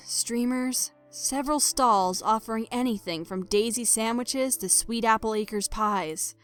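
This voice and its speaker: A woman reading a scripted dramatic story as narrator, measured and expressive in her delivery. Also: mid-range voice.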